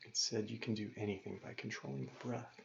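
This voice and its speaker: A man leading a guided meditation as a yoga teacher, calm and gentle, giving measured instructions with pauses. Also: lowish voice, gravelly. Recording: dull lo-fi recording with faint noise.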